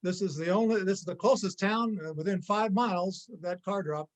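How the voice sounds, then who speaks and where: A vengeful robber played by a man in an amateur play reading. His voice sits high for a man, and he talks in a halting, sneering, threatening way. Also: natural voice. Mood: menacing. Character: menacing, sarcastic male gangster robber, jumpy and vengeful. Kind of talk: amateur reader's theater acting.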